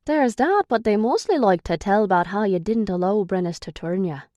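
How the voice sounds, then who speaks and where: A gruff, sarcastic young man who guards a captive and has no patience for her, as a woman narrator puts him on. His lines come out raised, pushed and scolding, higher than her own reading voice, recorded close and dry. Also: animated, moderate pace, darkish tone, dry recording, close to an everyday voice. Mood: teasing. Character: irritable sarcastic young male captor.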